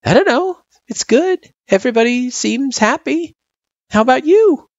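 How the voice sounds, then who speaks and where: A narrator plays a young girl in an audio story, pitched far above normal talk. The kid sounds friendly with an anxious edge, and the recording is dry and a little dull. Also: animated, normal speed, darkish tone, no room sound.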